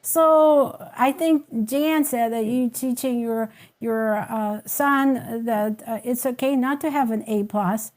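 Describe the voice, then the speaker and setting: A woman's voice: on the high side, takes it slowly, few pauses, darkish tone. A woman from Ukraine with a Ukrainian accent, speaking English as a second language in a casual group podcast chat, reflective and emphatic. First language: not English. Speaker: podcast guest.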